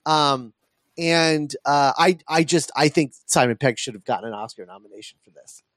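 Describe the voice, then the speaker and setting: A man hosting a podcast, talking casually about a film in an earnest, emphatic, halting way. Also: mid-range voice, expressive, a bit bright, dry recording. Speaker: podcast host.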